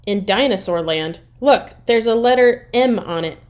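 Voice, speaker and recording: A woman's voice: on the high side, normal speed, a little mellow, natural voice. Storybook boy, read by a woman: young, earnest, cautious, questioning. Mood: puzzled.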